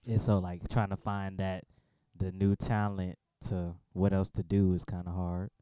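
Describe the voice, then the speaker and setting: A man with a low, warm, smooth voice talks loosely and casually, looping through fillers and quick asides. The sound is dry and close-miked. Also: flat delivery, no echo. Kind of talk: casual conversation.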